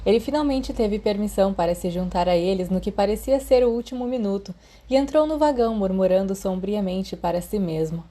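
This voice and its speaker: A woman's voice: medium pitch, a little fast, few pauses, dark tone. A woman with a Brazilian Portuguese accent reading a story aloud as a scripted audiobook narration. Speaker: podfic narrator.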